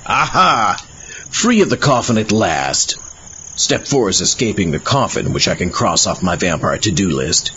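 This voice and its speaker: A man's voice: low voice, sing-song, normal speed. A man hosting a horror podcast in vampire character. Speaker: podcast host. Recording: dull lo-fi with noticeable background noise.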